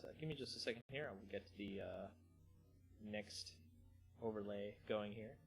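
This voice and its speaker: A man hosting a live stream in a casual, slangy, rambling way, with halting pauses. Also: on the low side, creaky, faint music behind. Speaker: host of a card game channel. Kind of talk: casual live stream chat, then dramatic story reading.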